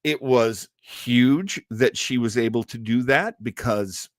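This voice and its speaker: A man hosting a casual live talk in a chatty, halting way with wry asides. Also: mid-range voice, no room sound, clean studio sound. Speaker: host. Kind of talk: casual live-stream talk on old radio comedy.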